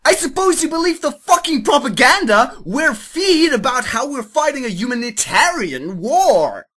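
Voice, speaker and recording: Woman playing furious teen revolutionary, high voice, wildly animated, indignant yelling, parody audio story. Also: normal speed. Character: furious, self-righteous teenage communist student. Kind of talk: amateur dramatic reading of parody fan fiction.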